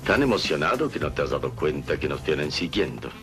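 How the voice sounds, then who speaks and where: An actor playing a calm, wise adventurer hero in a radio serial, speaking in a serene, measured manner. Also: male voice, extremely low pitch, music in the background. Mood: calm, guarded. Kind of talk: Latin American radio serial acting.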